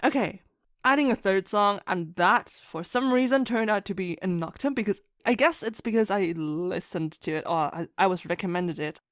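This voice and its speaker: Woman on a podcast just chatting, animated storyteller, really dry recording. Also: mid-range voice, normal speed, no echo. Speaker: podcast guest, fan musician and writer. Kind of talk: casual chat.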